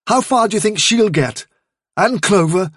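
Man voicing a high-pitched, commanding British rabbit chief. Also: expressive, fairly bright tone, breathy edge, dry recording, clean studio sound, exaggerated voice. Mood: firm, defiant. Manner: brisk, urgent, firm. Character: decisive male rabbit chief, stubborn, commanding leader.

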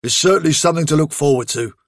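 A man's voice: on the high side, very fast, no room sound, studio quality, lightly acted. A British man voicing a bluff, hearty male rabbit fighter in a storybook, chatty and plain-spoken. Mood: cheerful, musing.

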